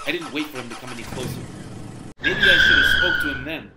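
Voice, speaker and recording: A man's voice: mid-range voice, fast, noisy background, lightly acted. A man playing a frightened, desperate young driver haunted by a phantom, in intense dramatic first-person storytelling. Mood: rising dread. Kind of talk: dramatic first-person radio-play narration read aloud.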